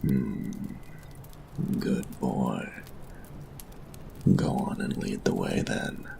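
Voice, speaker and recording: Man acting very deep, gravelly, cocky flirty bully. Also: mellow, a little hiss or hum, exaggerated voice. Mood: mocking, flirty. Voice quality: breathy, gravelly low voice. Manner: slow smug drawl, taunting. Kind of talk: intimate roleplay audio acting.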